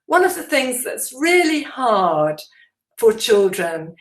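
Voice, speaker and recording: A woman giving an instructional presentation to teachers as a trainer, explaining in a measured way with deliberate emphasis. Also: a little high, very animated, roomy sound.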